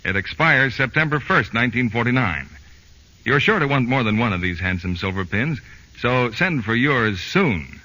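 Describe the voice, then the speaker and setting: A man's voice: medium pitch, animated, normal speed, bright, forceful tone, highs cut off. An American man announcing on 1940s radio, reading a scripted advertisement, on an old broadcast recording.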